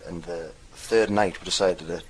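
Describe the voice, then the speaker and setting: Young man, mild Geordie accent, very low voice, rambling anecdotal delivery, faint background hum. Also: steady tone, normal speed. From North East England. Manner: loose, rambling storyteller. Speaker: dialect archive speaker. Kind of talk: casual recorded anecdote.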